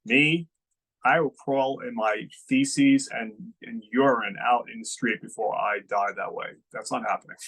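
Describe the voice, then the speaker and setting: A man with a gravelly, creaky voice speaks slowly in an unscripted spiritual talk. He is reflective and keeps asking his listeners questions. His pitch sits in the middle range. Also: steady tone, a bit bright, rough recording. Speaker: spiritual teacher.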